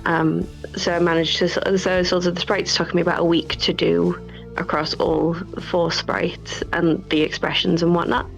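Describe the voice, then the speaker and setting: A woman with a lowish, noticeably creaky voice speaks through dull, lo-fi audio with faint music behind. She explains her work casually and modestly, like a guest developer in an interview. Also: flat delivery, moderate pace. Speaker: game developer and artist being interviewed.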